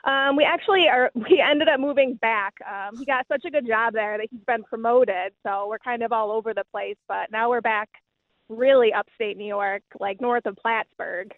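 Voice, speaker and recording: A woman's voice: fairly high pitch, normal speed, no room sound. Woman caller on a phone line.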